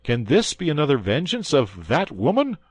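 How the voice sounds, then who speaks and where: Man doing a young guardsman hero, passionate, earnest, somewhat raised voice, lively pitch. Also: normal speed, breathy edge, no room sound, heavily acted. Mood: urgent. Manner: urgent and earnest.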